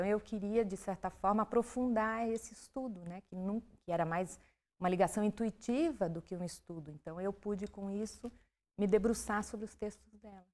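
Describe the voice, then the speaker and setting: A woman who is an author and literature professor, answering interview questions in a calm, measured way. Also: medium pitch.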